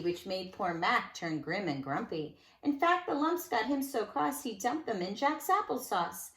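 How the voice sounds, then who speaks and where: Animated woman reading a storybook, slightly high voice. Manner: animated, dramatic emphasis, conversational asides. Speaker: nursery school teacher reading a storybook aloud. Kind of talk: expressive read-aloud storytelling for young children. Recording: echoey room sound.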